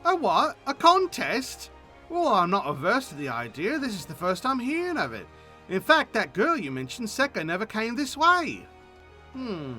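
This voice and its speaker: An earnest young squire in a fantasy game, acted by a man. The speech is formal, polite and eager. The voice is high and bright with animated swings. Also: normal speed, soft background music, exaggerated voice. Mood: eager, courteous.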